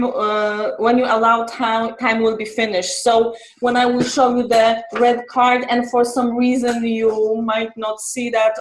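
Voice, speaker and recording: A woman explaining her timer role at an online meeting, halting with many fillers. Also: on the high side, roomy sound, rough recording. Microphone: video call.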